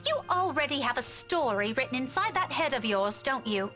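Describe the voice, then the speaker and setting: A man's voice: very high-pitched, sing-song, moderate pace, bright, forceful tone, faint music behind, voice pushed up, heavily acted. A man voicing a polite, awkward young woman in a visual novel, hesitant and apologetic. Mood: miffed. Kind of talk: character voice read from a visual novel.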